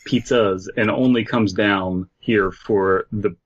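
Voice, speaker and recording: A man's voice: lowish voice, fairly even intonation, takes it slowly, lo-fi sound. A man co-hosting a podcast, reading a story aloud and chatting casually with jokes and swearing. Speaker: podcast co-host.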